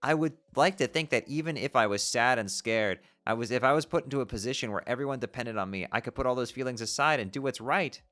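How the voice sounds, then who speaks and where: A performer voicing a sad, despairing girl sprite who talks in a quiet, defeated way. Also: medium pitch, quick pace, hardly any pauses, pitched below the performer's own voice, lightly acted. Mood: mournful.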